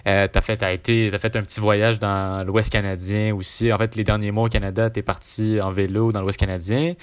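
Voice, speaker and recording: A man's voice: on the low side, level tone, fairly quick, clean tone, dry recording. A man interviewing a guest casually, asking long rambling questions in a friendly way.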